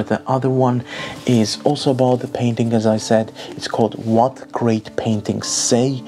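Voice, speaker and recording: Lowish-voiced man. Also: darkish tone. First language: Armenian. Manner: earnest, enthusiastic, careful phrasing with occasional fillers. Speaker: book channel host. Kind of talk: casual book haul talk to camera.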